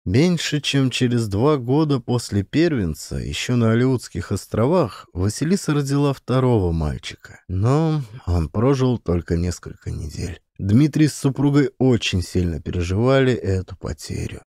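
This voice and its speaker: A man's voice: medium pitch, dark tone, no room sound, studio quality. A man giving a dramatic scripted narration of an audiobook as a storyteller.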